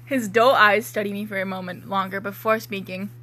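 A woman's voice: mid-range voice, level tone, brisk, sharp tone. A woman doing a casual comedic dramatic reading with banter, in a giggly, self-mocking and irreverent manner. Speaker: co-host and writer of the story being read.

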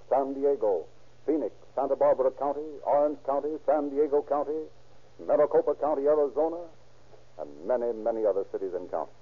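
A man's voice: medium pitch, slightly rough. An American man narrating in a formal, dramatic, scripted 1930s radio announcer style. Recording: tinny old-time radio recording.

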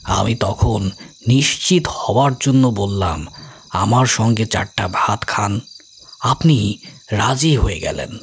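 A man playing a brilliant, calmly amused middle-aged sleuth who explains his reasoning in a measured way, in an audio story. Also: on the low side, slight background noise.

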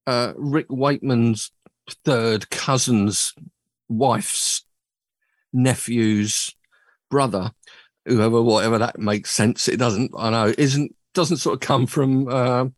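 A man's voice: mid-range voice, steady tone, slow pace, no room sound. A man hosting a casual chat show, rambling and joking at his own expense. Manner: chatty, rambling, self-mocking. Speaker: podcast host. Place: home studio.

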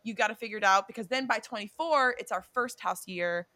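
A woman's voice: medium pitch, brisk, bright, forceful tone, no echo. Chatty, swearing young woman. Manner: chatty, swears freely, drifts off and self-corrects. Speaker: podcast co-host. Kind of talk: casual chat about a reality show and astrology.

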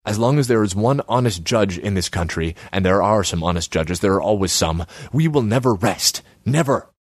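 Pompous male politician for audiobook, acted by a male reader: airy, wordy, self-righteous, with animated pitch and dry sound. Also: a little fast, no room sound.

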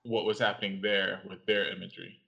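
A young man from Cameroon, a guest in an interview, speaking in a measured, reflective way with some pauses. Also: fairly low pitch, level tone, vocal fry. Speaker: guest, audiovisual artist and writer. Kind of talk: interview conversation. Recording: lo-fi, slightly dull sound.

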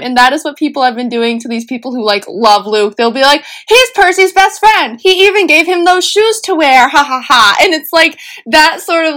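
Woman, high pitch, chatty co-host, animated, laughs a lot. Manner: animated, laughs often, quick swings in pitch when quoting others. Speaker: podcast co-host. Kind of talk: casual chat.

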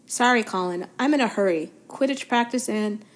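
A woman's voice: mid-range voice, normal speed, close to an everyday voice. A woman voicing a tired teenage boy wizard who explains things in a weary, resigned way.

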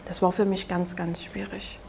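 A woman in her twenties from Saxony with a Saxon German accent, speaking haltingly and softly as an interview guest, often restarting her sentences. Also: lowish voice, little pitch movement, creaky, background noise. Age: 20s. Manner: halting, soft-spoken, with frequent restarts. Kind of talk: personal testimony in an interview.

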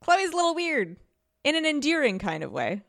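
A woman's voice: sits high, big pitch swings, normal speed, gravelly, dry recording. Emphatic woman chatting, close dry sound. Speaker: podcast guest. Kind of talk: casual chat.

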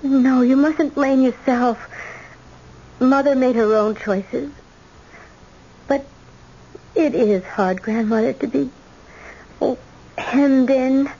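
A high, girlish voice comes through a dull vintage radio recording. a weary eighteen-year-old granddaughter, earnest and hesitant, in old radio drama style. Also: takes it slowly, pauses often, warm tone, full character voice. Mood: weary, wistful.

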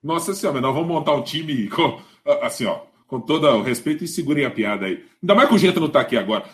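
A Brazilian man hosting a football live stream, his voice on the high side and swinging all over as he talks. He is animated, restarting phrases and doubling words as he thinks aloud. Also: quick pace. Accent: Brazilian Portuguese. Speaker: host.

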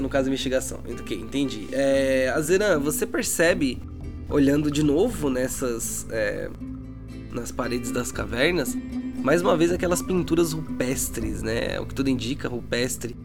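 A Brazilian man narrating a tabletop roleplay as game master, with informal, slangy speech. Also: a little high. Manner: colloquial, slangy. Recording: music behind the voice.

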